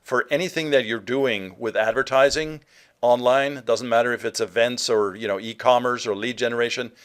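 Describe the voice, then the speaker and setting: A man's voice: mid-range voice, fairly even intonation, moderate pace, clean tone. Earnest man from Norway presenting. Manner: earnest, direct, frequent "uh" fillers. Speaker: digital marketing presenter. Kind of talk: webinar presentation.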